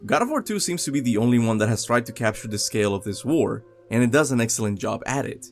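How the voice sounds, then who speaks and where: A man's voice: medium pitch, lively intonation, quick pace. A young man narrating a scripted video game countdown as host, with music behind him.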